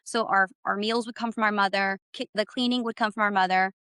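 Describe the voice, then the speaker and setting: Reflective woman from Canada, smooth voice. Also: medium pitch, steady tone, fairly quick, bright, forceful tone, no room sound, studio quality. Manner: reflective, hesitant with fillers. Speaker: interview guest. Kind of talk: personal interview conversation.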